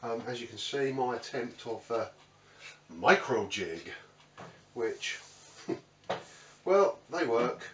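A man's voice: mid-range voice, sing-song, slow, long pauses. British man, casual rambling tour talk, echoey workshop, faint background noise. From United Kingdom. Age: middle-aged. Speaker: host of a woodworking channel. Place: small cluttered indoor home workshop. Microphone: camera mic a few metres away. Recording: roomy, distant mic, faint background noise.

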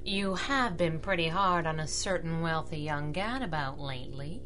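A woman's voice: sits low, normal speed. A woman playing a feisty, slangy young masked sidekick in a period-style radio drama. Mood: teasing. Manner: excitable, slangy.

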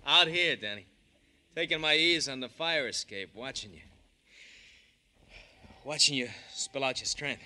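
An actor playing a mocking, menacing American killer with theatrical glee in an old-time radio drama. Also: male voice, medium pitch, animated, unhurried, long pauses, bright, forceful tone. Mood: gloating. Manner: taunting, theatrical. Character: dying hoodlum killer, mocking, menacing, gleefully unhinged.

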